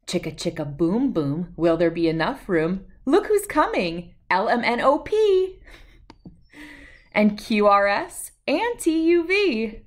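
A woman in her thirties reading a picture book aloud to young children in an expressive, playful, rhythmic way. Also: mid-range voice, slow. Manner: expressive, playful, sing-song rhythmic delivery. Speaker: children's read-aloud host.

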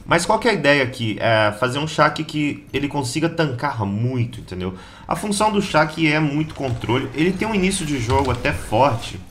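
Slightly hoarse Brazilian man, gaming commentary. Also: a little high, big pitch swings, moderate pace, hardly any pauses, a bit bright. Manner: slangy, informal, chatty. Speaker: gaming channel host. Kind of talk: casual gameplay guide commentary. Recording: keyboard clatter and background noise.

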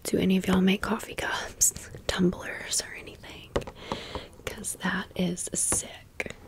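A woman's voice: fairly low pitch, level tone, slow pace. An American woman whispering in a chatty, rambling ASMR style, as a video creator chatting through a haul and story. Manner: chatty, rambling, repeats words softly.

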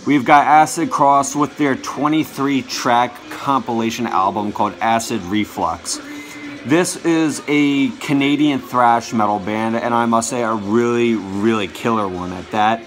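A young man giving a casual show-and-tell to camera, halting and often correcting himself. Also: mid-range voice, faint music behind. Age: young adult. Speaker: vlogger showing a music collection. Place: small bedroom, indoors.

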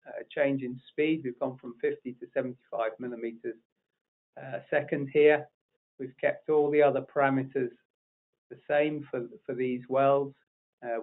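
Middle-pitched man, halting webinar presenter, tinny phone-line sound. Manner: halting, many fillers and restarts. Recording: narrow phone-like audio.

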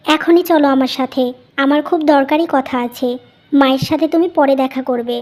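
A woman's voice: high-pitched, a little fast, darkish tone, lightly acted. A woman voicing a selfish, resentful young wife in a cartoon, curt and accusing. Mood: insistent. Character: resentful, selfish young wife, sharp-tongued with her family.

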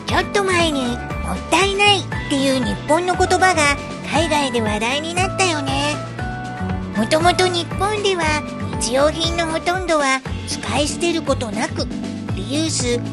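A woman voicing a little schoolboy in an animated children's folk tale, childlike and cheeky, by turns sulky and excitable. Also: high-pitched.

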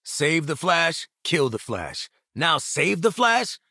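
A male actor playing a sardonic, confident mercenary marksman in a video game, with an American accent, husky voice and blunt, commanding manner. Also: on the high side, very animated, takes it slowly, pushed and bright, no echo, studio quality, heavily acted. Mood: sardonic, exasperated. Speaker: squad fighter. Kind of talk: video game combat voice lines.

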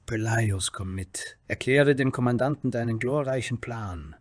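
A man voicing an angry, commanding male warrior leader who growls gruffly in a fantasy story. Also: medium pitch, measured pace, no room sound, clean studio sound, voice pushed up, close to an everyday voice. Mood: seething.

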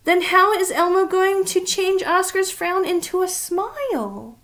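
A woman's voice: very high voice, lively intonation, a little slow, a bit bright, voice pushed up, heavily acted. A woman voicing a small, cheerful, childlike monster who eagerly talks about himself in the third person in a storybook read-aloud. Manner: speaks of self in third person.

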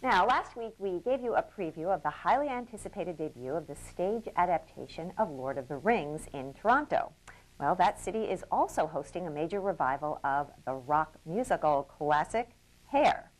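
A woman hosting a TV arts show, reading a scripted introduction in a lively, presenting way. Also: fairly low pitch, moderate pace, soft background music, rough recording. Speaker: show host.

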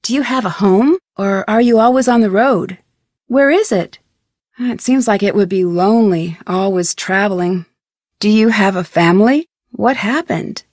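A woman's voice: mid-range voice, moderate pace, a little mellow, no room sound, studio quality, natural voice. A woman playing a curious, polite young amateur detective in scripted video game dialogue, asking questions in an inquisitive way. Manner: inquisitive, polite, clearly enunciated. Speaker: game protagonist.

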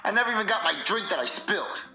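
A man playing a bratty, dim little boy monster in a comedy puppet skit, whining and petulant in an electronically pitched-up voice. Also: lively intonation, quick pace, pushed and bright, roomy sound, faint music behind, full character voice. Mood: whiny.

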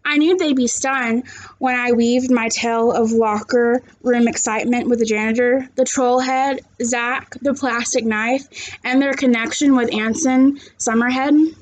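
A young woman with a high-pitched voice reads a children's novel aloud. She sounds like a teacher addressing a young class, using plain words and speaking straight to her listeners. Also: fairly even intonation, measured pace, poor audio. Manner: simple, direct address with questions to young listeners. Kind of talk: read-aloud of a children's novel with chat to viewers. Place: small living room, seated on a couch indoors.

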